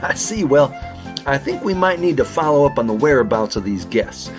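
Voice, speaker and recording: A man's voice: mid-range voice, moderate pace, over music, natural voice. A man acting an earnest, folksy raccoon sheriff detective in a children's audio drama. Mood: curious, businesslike. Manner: animated, folksy, wordplay-loving.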